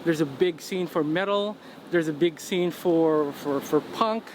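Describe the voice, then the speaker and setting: A man's voice: on the high side, measured pace. A man from the Philippines, interviewed outdoors on a city street, talking casually with lots of fillers. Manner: relaxed, many fillers like "you know". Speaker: interviewee, musician. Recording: street noise behind.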